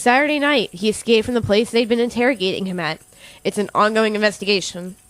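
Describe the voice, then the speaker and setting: A woman's voice: medium pitch, quick pace, no echo, lightly acted, poor audio. A woman playing a caring but stern college professor in an audio drama, proper and motherly. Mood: grave.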